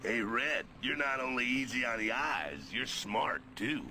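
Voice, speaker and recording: An actor playing a hulking, crude and belligerent brute villain with a gravelly voice in a video game. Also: male voice, mid-range voice, lively intonation, measured pace, bright, forceful tone, slight background noise, full character voice. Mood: leering. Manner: crude, taunting slang.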